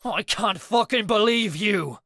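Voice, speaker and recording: A man's voice: on the low side, a little slow, a bit bright, no echo, clean studio sound. A man doing a growling, enraged young man. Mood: raging. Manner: growling, threatening. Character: young man, volatile, seething with rage.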